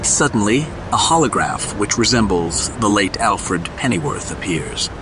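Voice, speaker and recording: A man's voice: on the low side, big pitch swings, measured pace, background noise. A man reading scripted dramatic narration as the narrator of an audio drama. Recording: music behind the voice.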